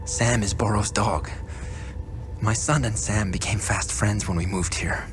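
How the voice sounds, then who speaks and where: Desperate father, a teacher, played by a man: deep, grave delivery for a game cutscene, prominent music underneath. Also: measured pace, natural voice. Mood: worried, subdued. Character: anguished schoolteacher father searching for his lost son. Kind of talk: video game cutscene acting.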